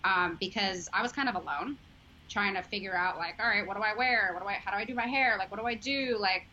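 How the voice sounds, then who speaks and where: A sports journalist in her thirties with a Chicago accent talks in a chatty, earnest way, full of ums and likes. Her voice is bright and forward. The audio is dull and lo-fi, with a faint hiss behind it. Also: mid-range voice, fast. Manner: chatty, filler-heavy, earnest. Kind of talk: casual interview chat.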